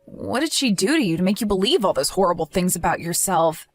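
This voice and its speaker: A woman's voice: on the low side, very animated, brisk, no room sound, studio quality. A woman voicing a protective young sister-in-law who speaks in an earnest, reassuring manner. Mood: caring.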